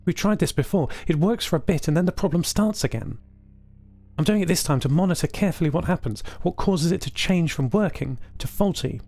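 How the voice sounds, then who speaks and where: A man's voice: a little high, animated, brisk, a little mellow, no echo, voice pushed up. A man voicing a friendly, helpful female radio technician in a casual audio drama conversation. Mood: focused, explaining. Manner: casual, easygoing, chatty. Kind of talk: scripted audio drama dialogue.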